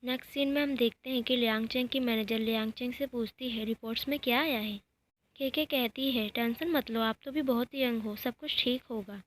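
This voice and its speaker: A woman narrating a recap of a romantic drama episode, reading every character's lines in her own plain, even voice. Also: a little high, fairly quick.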